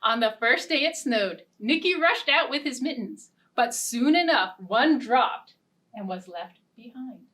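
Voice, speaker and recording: A woman librarian leading a children's storytime, singing simple repeated verses and reading a picture-book story in a gentle, expressive way. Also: on the high side, unhurried, far from the mic. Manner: gentle, sing-song and expressive. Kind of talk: children's storytime with songs and ukulele.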